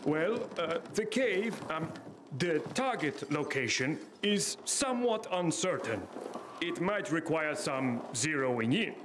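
A man voicing a middle-aged eccentric scientist in a video game, measured and professorial, a little evasive. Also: on the high side, big pitch swings. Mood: hesitant. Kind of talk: video game cutscene acting. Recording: clearly noisy game ambience behind.

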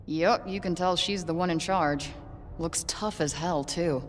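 An actress playing a tough, wisecracking young heroine in a video game, talking in casual, sarcastic slang. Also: female voice, lowish voice, a little slow, slight background noise, lightly acted. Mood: casual, wry. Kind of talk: video game cutscene acting. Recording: long hall reverb.

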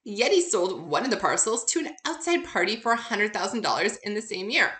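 A woman's voice: mid-range voice, lively intonation, brisk, a bit bright. A woman instructor narrating an informal recorded lecture, upbeat and encouraging, addressing her listeners directly. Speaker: accounting instructor.

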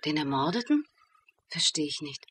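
An actress playing a witty, ironic feminist academic, wry and mock-formal, in a German radio crime play. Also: female voice, low voice, very animated, moderate pace, fairly bright tone, slightly rough, exaggerated voice. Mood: curious.

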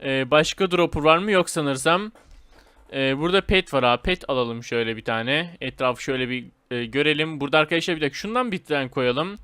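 A man giving casual, excitable gameplay commentary in informal slang, talking straight to his viewers. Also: fairly high pitch, fairly quick, clean tone, no room sound. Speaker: gaming video host.

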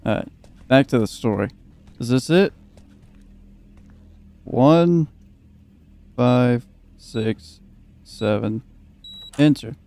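A man's voice: mid-range voice, expressive, very slow, long pauses, mellow. A young man giving casual let's play commentary, joking and swearing and at times reading game text aloud. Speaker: gaming video host. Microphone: close, dry microphone.

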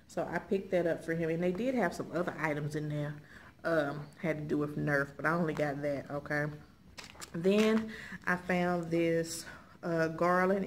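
A middle-aged woman with an American accent, chatting casually and folksily to viewers as she presents items, often checking in with short tags. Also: fairly low pitch. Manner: folksy, conversational, frequent check-in tags like okay and all right. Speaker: haul video host. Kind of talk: casual chat showing purchases to viewers. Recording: clearly noisy room recording.

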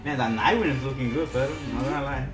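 A man's voice: mid-range voice, quick pace. A young man chatting casually as co-host of a live stream, informal and bantering, sometimes dropping in words. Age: young adult. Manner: informal, mixes in Spanish words. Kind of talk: casual bantering livestream chat. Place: indoor room, shared stream setup. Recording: roomy echo, music behind. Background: music.

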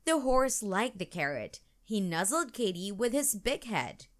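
A woman reading a children's storybook aloud in an expressive, deliberate storytelling manner. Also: medium pitch. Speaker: storytime channel narrator. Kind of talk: children's book read-aloud.

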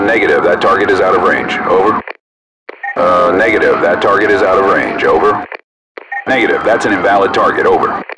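A man voicing a brisk, businesslike armored vehicle crewman in a video game, speaking clipped, authoritative procedural chatter through a radio filter. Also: on the low side. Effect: military radio filter. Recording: distant radio sound under loud vehicle noise.

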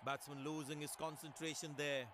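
A man acting the keen commentary box voice of a cricket video game, fairly high, nearly swamped by ground noise. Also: level tone, moderate pace, close to an everyday voice. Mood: measured, analytical. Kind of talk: scripted sports game commentary. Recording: loud game stadium ambience behind. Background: game ambience, ball knocks.

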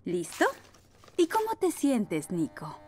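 Lively, kindly lady doctor anteater. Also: medium pitch, takes it slowly, slight background noise. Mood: gentle, reassuring. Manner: gentle, reassuring. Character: kind, reassuring anteater hospital doctor, adult female. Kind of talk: dubbed children's cartoon acting.

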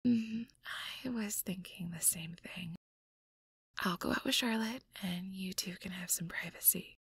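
A woman's voice: fairly low pitch, unhurried, husky, exaggerated voice. A woman voicing a caring, anxious, responsible young woman who speaks carefully and breathily in an audio roleplay. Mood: concerned.

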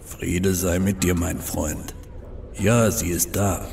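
A man's voice: sits low, fairly even intonation, slow pace, gravelly, noisy background, heavily acted. An actor playing a big, loyal male troll guard in a fantasy audio drama, with a weighty delivery. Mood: calm, steady. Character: big deep-voiced male troll guard, loyal husband, plain-spoken.

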